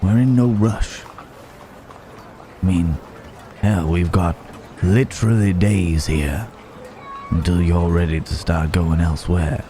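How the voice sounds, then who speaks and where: A man playing a rugged, protective cowboy drifter in an intimate ASMR Wild West roleplay, with a drawl, teasing and reassuring as he pauses between thoughts. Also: deep voice, steady tone, mellow, a little hiss or hum. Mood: relaxed, reminiscing.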